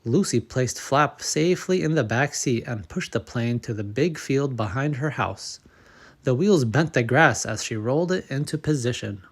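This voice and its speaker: Male storybook narrator, medium pitch, expressive, measured delivery, children's read-aloud. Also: slightly rough. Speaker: storybook reader and channel host.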